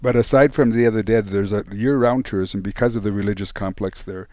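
Low-voiced man explaining history, dry. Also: fairly even intonation, fairly quick, mellow, dry recording. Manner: explanatory, informative. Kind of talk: radio interview.

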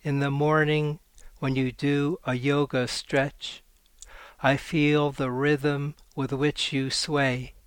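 A man, a poet, reading his poems aloud in a slow, measured way and talking about his work. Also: on the high side, flat delivery, clear, resonant tone, dry recording. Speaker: poet guest. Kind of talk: poetry reading.

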